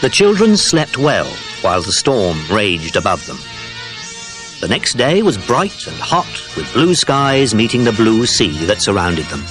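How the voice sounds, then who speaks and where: A man's voice: on the low side, sing-song, unhurried, rough recording. A man narrating a children's adventure audiobook from a script, as its storyteller. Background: music.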